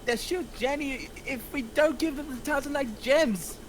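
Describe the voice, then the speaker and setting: Man playing very high-pitched, urgent gunman. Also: moderate pace, a little hiss or hum. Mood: tense, urgent. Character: tense armed male henchman leader. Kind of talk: script table read.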